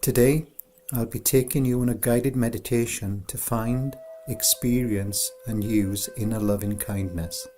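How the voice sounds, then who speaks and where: A man narrating a scripted guided meditation in a soothing, unhurried, gentle way, as an instructor. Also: mid-range voice, steady tone, slight background noise.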